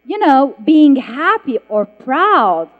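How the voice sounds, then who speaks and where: A woman's voice: sits high, very animated, slow pace. A woman, an author telling a story on a festival panel with emphatic restarts. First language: Arabic. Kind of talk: public panel talk with audience. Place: festival hall stage. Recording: dull, echoey hall sound.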